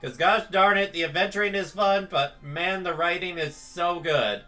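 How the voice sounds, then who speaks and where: A man's voice: high voice, moderate pace, sharp tone. A man hosting a game reaction video, giving casual, emotional commentary. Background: game music.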